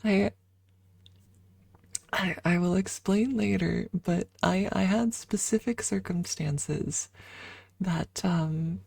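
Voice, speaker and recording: Breathy, slow-talking ASMR woman, close and dry. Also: on the low side, no echo. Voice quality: breathy, soft. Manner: halting, informal, drifting between whisper and soft talk. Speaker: ASMR streamer. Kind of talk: ASMR casual chat, often whispered. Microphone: close microphone.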